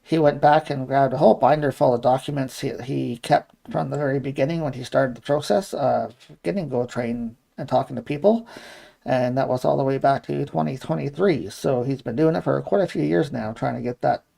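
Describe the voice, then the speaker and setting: A man with a mellow, creaky voice at an average pitch. He co-hosts a live stream in casual talk, explaining things plainly and matter-of-factly. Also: a little fast. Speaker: co-host moderating the live chat.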